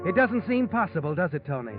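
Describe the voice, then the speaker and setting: An actor playing a sinister, all-knowing narrator of a 1940s radio mystery, taunting the guilty man in a deliberate, dramatic way. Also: sits high, big pitch swings. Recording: muffled old radio recording with loud music.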